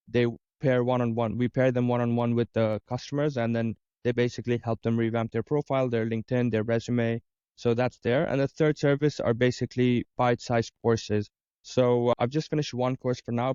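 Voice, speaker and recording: A man's voice: mid-range voice, warm tone, clean tone, no room sound, lo-fi sound. A man from Dubai answering interview questions as a career coach, talking conversationally and explaining with lists of examples. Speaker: interview guest, career coach and business owner.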